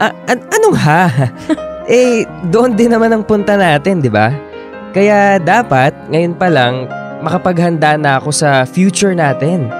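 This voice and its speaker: An actor playing a young, sweet, easygoing boyfriend in a radio drama, coaxing and earnest in casual conversational dialogue. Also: male voice, sits high, expressive, measured pace, over music. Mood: earnest, bashful. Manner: casual, coaxing, hesitant stammers and chuckles.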